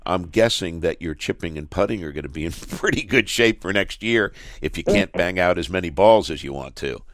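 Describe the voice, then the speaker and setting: A man's voice: low-pitched, animated, a little fast, no echo, clean studio sound. A man hosting a sports radio talk show, asking rambling questions in a conversational, jokey way. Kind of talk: casual sports radio interview.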